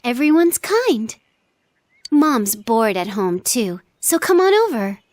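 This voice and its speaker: A woman voicing a sincere, warm-hearted teenage girl in an anime-style video game, speaking in a casual, gentle way. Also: a little high, sing-song, slow. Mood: friendly, reflective.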